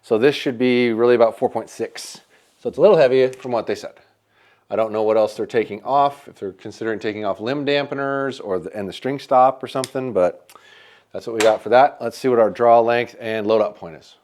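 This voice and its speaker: A man's voice: medium pitch, fairly quick. Upbeat man measuring a bow. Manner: upbeat, talks through measurements as he works; says he has a loud voice. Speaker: bow shop reviewer. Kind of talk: casual hands-on product testing.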